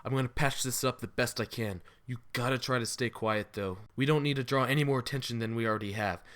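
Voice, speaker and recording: Cocky veteran survivor played by a man, medium pitch. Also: quick pace, fairly bright tone, lightly acted. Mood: focused, cautious. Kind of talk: audio drama dialogue.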